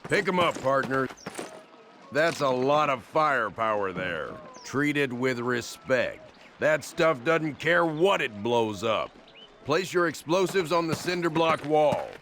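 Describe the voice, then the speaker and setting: A man playing a brisk, commanding American army training instructor in a video game, barking folksy instructions. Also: medium pitch, expressive, a bit bright, slight background noise. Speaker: drill instructor. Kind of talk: video game tutorial dialogue.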